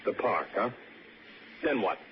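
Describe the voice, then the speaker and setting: An actor playing a hard-boiled, sceptical police detective with a pressing, sardonic manner in a 1960s radio drama. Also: male voice, lowish voice, lively intonation, measured pace. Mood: probing, sardonic. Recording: dull, noisy old-time radio recording.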